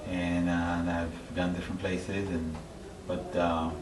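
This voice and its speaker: Low-voiced middle-aged man, echoey noisy recording. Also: monotone, unhurried. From Haiti. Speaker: interview guest, church member. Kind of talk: personal testimony, conversational. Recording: echoey, distant mic, clear background noise.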